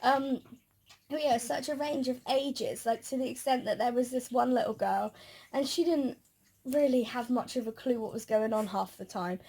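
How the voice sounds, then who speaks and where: A woman's voice: fairly high pitch, moderate pace, dark tone, husky. A woman with a British accent chatting casually as a vlogger. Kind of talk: casual chat.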